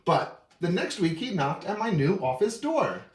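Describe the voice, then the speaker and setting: A man reading a rhyming children's storybook aloud with an expressive, rhythmic delivery. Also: high-pitched, normal speed, echoey room. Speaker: storybook reader.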